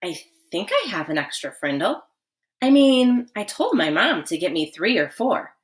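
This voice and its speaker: A woman's voice: fairly low pitch, sing-song, moderate pace. A woman voicing a schoolboy sidekick in a storybook, blurting and making a showy act of things. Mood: showy pretence.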